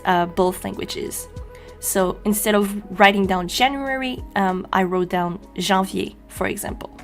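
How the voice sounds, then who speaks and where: A young woman from Quebec with a French-Canadian accent, a native French speaker, chatting casually in a voice-over as she draws, often pausing with ums and you-knows. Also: mid-range voice. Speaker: journaling channel host. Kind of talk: casual voice-over narration while drawing.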